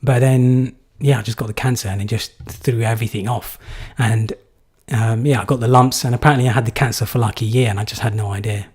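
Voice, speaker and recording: A man's voice: mid-range voice, steady tone, fairly quick, few pauses, a little mellow, husky. A young man from England answering viewer questions in a live stream, casual and rambling with many fillers. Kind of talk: live Q&A chat.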